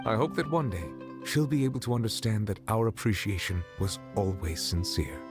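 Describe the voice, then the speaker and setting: Male actor as dignified game official: lowish register, measured, gentlemanly, formal, calmly sincere. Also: lightly acted. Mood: gracious. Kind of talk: scripted anime game dialogue. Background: music.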